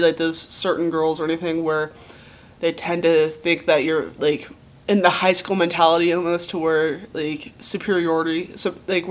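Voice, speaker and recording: A young man with a relatively low voice giving a casual video diary update, rambling with many ums and likes. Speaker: vlogger.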